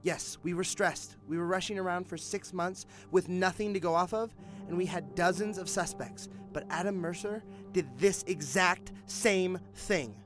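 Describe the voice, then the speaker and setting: An actor playing a hard-nosed, callous police detective in an audio drama, forceful, sneering and insistent. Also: male voice, high voice, moderate pace, music in the background, close to an everyday voice. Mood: impassioned, bitter.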